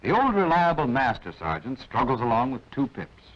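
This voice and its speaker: A man's voice: medium pitch, huge pitch swings, moderate pace. A man with an American accent narrating a 1940s military training film, instructing in a clipped way with wry asides. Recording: dull, band-limited vintage film soundtrack.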